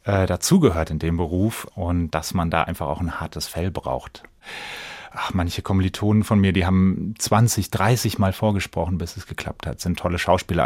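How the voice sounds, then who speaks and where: A man with a low-pitched voice speaks as an interview guest. He tells personal stories in a conversational way, and the recording is close and dry, with very little room around him. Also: no echo. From Bensheim, Hesse, Germany. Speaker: freelance actor, interview guest.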